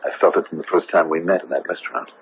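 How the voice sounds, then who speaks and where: Actor as a low-voiced, secretive suitor, tinny radio. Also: male voice, rapid-fire, lightly acted. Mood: smitten, earnest. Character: smooth would-be lover, secretive man turning uneasy when cornered. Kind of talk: 1970s radio mystery drama acting. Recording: noisy, tinny band-limited old radio broadcast.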